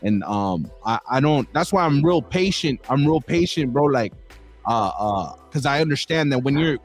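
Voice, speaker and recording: A man's voice: medium pitch, animated, moderate pace, faint music behind. A man hosting a casual podcast interview, rambling with many restarts and calling his guest bro. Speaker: podcast host.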